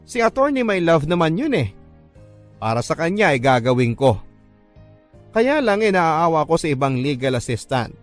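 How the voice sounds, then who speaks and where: A man's voice: a little high, very animated, moderate pace. Filipino male radio storyteller over background music. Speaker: radio storyteller reading a listener's letter. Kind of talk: dramatic first-person scripted narration, classic radio storytelling. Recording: music bed under the voice.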